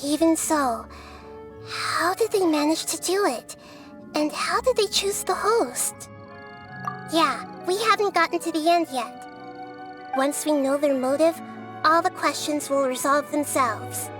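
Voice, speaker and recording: Gentle young deity girl, high-pitched, measured and earnest, video game character. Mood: pondering. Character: small young girl goddess, gentle, wise and thoughtful. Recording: music behind the voice.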